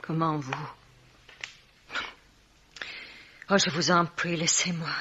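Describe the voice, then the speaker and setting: An actress playing a proud, jealous bereaved woman in a radio mystery, curt, haughty and breathy. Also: low-pitched, fairly even intonation, moderate pace, pushed and bright, a little hiss or hum. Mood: grieving, guarded. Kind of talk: French radio mystery drama acting. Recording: dull vintage-style radio recording.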